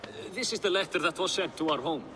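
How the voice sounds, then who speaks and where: An actor playing a cautious, weary older Norse official who speaks with reasoned restraint in a game cutscene. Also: male voice, on the high side, quick pace, noisy background, lightly acted. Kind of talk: video game cutscene acting.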